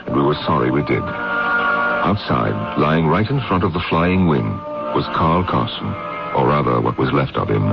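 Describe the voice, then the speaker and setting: A man's voice: a bass voice, lively intonation, moderate pace, gravelly, exaggerated voice. An actor playing a composed, earnest man who narrates and stars in a 1970s radio mystery. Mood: somber narrating. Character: measured, dutiful male narrator-hero, a magazine man and agent. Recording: dull 1970s radio broadcast, music behind.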